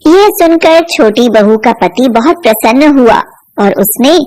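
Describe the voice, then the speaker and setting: Female storyteller for animated fables; high pitch, slow scripted delivery. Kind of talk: scripted folk-tale narration for cartoon.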